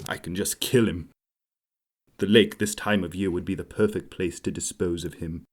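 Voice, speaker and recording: A man playing a murderous, greedy innkeeper in an audio drama, speaking in a deliberate, theatrical way. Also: low voice, fairly even intonation. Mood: brooding, menacing. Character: murderous male innkeeper, greedy, brooding, cornered by blackmail.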